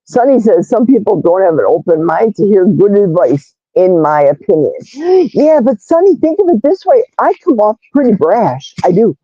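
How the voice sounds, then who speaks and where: A woman with a loud, raspy, brash voice hosting a casual livestream, reading chat comments aloud and greeting viewers. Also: mid-range voice, moderate pace, no room sound, lo-fi sound. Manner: animated, reads comments aloud, squeals greetings. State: hoarse, vocal fry.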